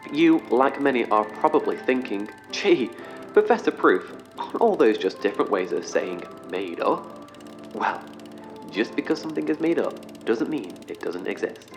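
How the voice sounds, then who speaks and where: A wordy, formal scientist professor. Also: male voice, medium pitch. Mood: cheerful, presenting. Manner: formal, wordy, halting under pressure. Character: eccentric male scientist professor, corporate and mildly awkward. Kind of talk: orientation film and meeting speech in audio drama.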